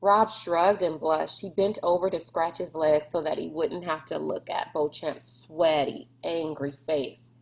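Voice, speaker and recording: A woman's voice: low voice, fairly even intonation, measured pace, creaky, hardly any pauses, low-quality recording. A woman narrating a children's novel aloud in a scripted storybook style.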